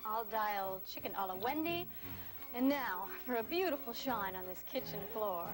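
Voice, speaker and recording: A cheerful housewife acted by a woman pitches in scripted commercial style. Her voice is a little high and moves up and down a lot, with music behind it. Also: animated, moderate pace, lightly acted. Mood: cheerful selling. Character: cheerful futuristic housewife in a floor cleaner commercial. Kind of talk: scripted TV ad acting.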